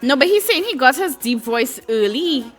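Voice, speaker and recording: A woman hosts a live talk show, reading viewer comments aloud and answering them in a chatty, easygoing way. Her voice is bright and forward. Soft music plays behind her. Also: high-pitched, expressive, normal speed. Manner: chatty, reacts to comments with laughs.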